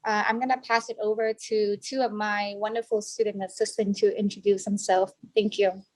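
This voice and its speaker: A woman's voice: mid-range voice, little pitch movement, darkish tone, poor audio. Woman walking new students through a checklist. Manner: halting explanations with frequent restarts. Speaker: student services specialist. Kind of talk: online information session presentation.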